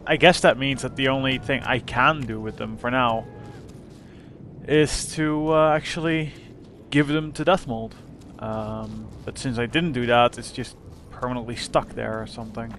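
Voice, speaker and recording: A young man with a slightly rough voice giving rambling, casual commentary while playing a game. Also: medium pitch, fairly bright tone, a little hiss or hum. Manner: rambling, self-correcting. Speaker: let's play host.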